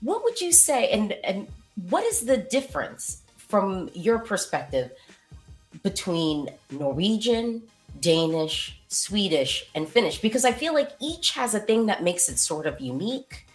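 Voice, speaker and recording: A woman's voice: mid-range voice, very animated, measured pace, soft background music, rough recording. An American woman interviewing a guest in a casual, chatty way, her questions full of fillers. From United States.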